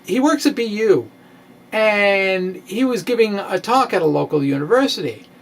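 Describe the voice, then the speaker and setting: A middle-aged man gives an informal, theatrical science monologue in a halting, quirky storytelling manner. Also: high voice, animated. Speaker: science vlogger, amateur physicist. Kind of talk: informal dramatic blog reading, explanatory monologue. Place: indoor room with bookshelves. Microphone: microphone on stand, close in front.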